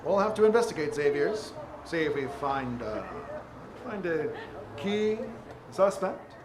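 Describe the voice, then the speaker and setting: A man playing a polite, halting police detective in a 1940s radio drama. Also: fairly high pitch, expressive, a crowd in the background. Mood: halting, inquisitive. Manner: halting, questioning. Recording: echoey stage with clear background noise.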